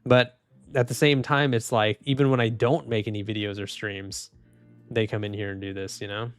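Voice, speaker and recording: A man live streaming and reading chat, speaking softly and calmly with frequent restarts. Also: fairly low pitch, moderate pace. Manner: soft-spoken, calm, trailing off with restarts and small laughs. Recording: dry close mic, faint music underneath.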